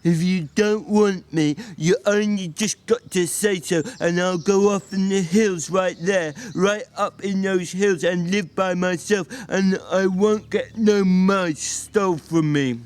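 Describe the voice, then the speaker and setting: Simple-minded, timid male giant played by a man reader for a dramatic audiobook, childlike, pleading, high-pitched. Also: steady tone, takes it slowly, hardly any pauses, darkish tone, smooth. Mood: sulky pleading. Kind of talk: dramatic reading of a novel.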